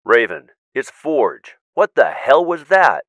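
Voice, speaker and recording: An actor playing a cautious, principled weapon inventor in an audio drama, measured and technical, emphatic when he objects. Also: mid-range voice, big pitch swings, a bit bright, lo-fi sound. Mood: worried, frustrated.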